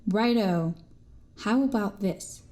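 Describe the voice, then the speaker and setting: A woman's voice: medium pitch, slow pace, dark tone, lightly acted. A woman playing a cheeky eight-year-old boy telling tall tales in an audio drama. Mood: eager, unruffled. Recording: music bed behind the voice.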